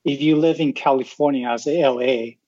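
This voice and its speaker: A man's voice: medium pitch, steady tone, moderate pace, darkish tone, highs cut off. A man from Taiwan, a podcast guest, explaining his points in casual talk, often ending with a questioning "right?" and restarting his words. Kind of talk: casual interview answers.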